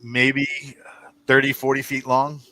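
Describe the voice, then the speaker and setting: A man with a bright, forceful voice at an average pitch runs a tabletop role-playing game. He describes scenes off the cuff, pausing to find his words and throwing in vivid detail. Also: monotone, no room sound. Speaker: game master. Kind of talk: improvised tabletop game narration.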